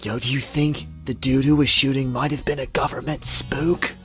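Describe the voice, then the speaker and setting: An actor voicing a laid-back, spacey young party dude who talks in slangy, unbothered fashion in a visual novel. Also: male voice, medium pitch, level tone, moderate pace, fairly bright tone, faint music behind, lightly acted. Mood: breezy, spacey.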